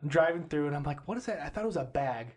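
A man's voice: on the high side, racing. A man co-hosting a casual comedy podcast, telling a story and acting out the voices of the people in it. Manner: animated storyteller acting out both sides of dialogue.

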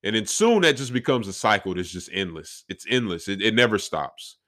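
A young man with a deep voice talking reflectively on his solo podcast, with faith woven into his talk. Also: big pitch swings, a little fast, fairly bright tone. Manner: reflective, faith-filled, conversational. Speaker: podcast host.

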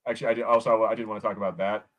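A man's voice: on the low side, flat delivery, very fast, a bit bright. A man co-hosting a podcast in casual pop culture banter, with a sweary, sardonic way of talking. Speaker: podcast co-host.

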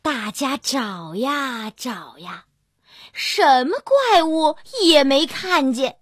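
A woman storyteller narrating a scripted fairy tale for young children in an expressive, drawn-out manner. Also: high voice, no room sound, clean studio sound. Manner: expressive, drawn-out storytelling with gentle sentence particles. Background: children's music.

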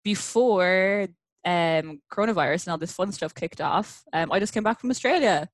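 An Irish woman guesting on a podcast, chatting in an animated, storytelling way with lots of filler words. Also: fairly low pitch, moderate pace, no echo. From Ireland. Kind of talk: casual chat.

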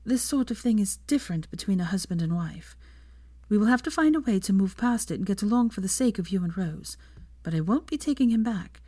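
A woman voicing a protective mother in a story, earnest, measured and consoling. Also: mid-range voice, animated, warm tone, voice pushed up, lightly acted. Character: firm, consoling mother, grown woman, protective of her daughter. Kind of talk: dramatised fan fiction reading.

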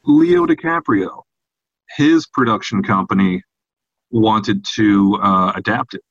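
Low-voiced man, dull lo-fi sound. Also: takes it slowly, dry recording. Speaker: podcast co-host. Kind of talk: casual discussion of horror films. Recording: dull, lo-fi, dry audio.

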